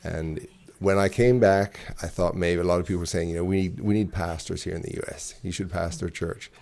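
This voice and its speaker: A man's voice: low-pitched, fairly even intonation, a little fast, vocal fry, a little mellow. A middle-aged man from Zimbabwe, a ministry director, telling his story in an interview with frequent hesitations and repeated words.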